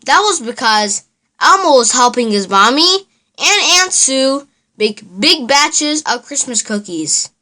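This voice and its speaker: A woman reading a children's storybook aloud in an expressive, deliberate storytelling manner. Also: high voice. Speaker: children's book reader. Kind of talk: storybook read aloud for kids.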